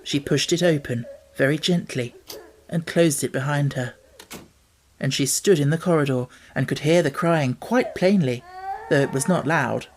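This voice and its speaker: A man reading an audiobook as narrator, telling the story in a measured, expressive way. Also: fairly high pitch, a little hiss or hum.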